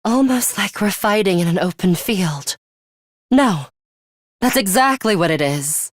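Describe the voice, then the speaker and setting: A woman's voice: mid-range voice, animated, unhurried, no echo. A woman playing a bloodthirsty, disdainful young female student commander in a video game, haughty, taunting and commanding.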